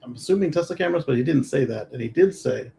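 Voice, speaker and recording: A man's voice: fairly high pitch, expressive, quick pace, creaky, warm tone. A man co-hosting a discussion podcast, offering casual, conversational commentary. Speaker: podcast co-host. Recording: faint background noise.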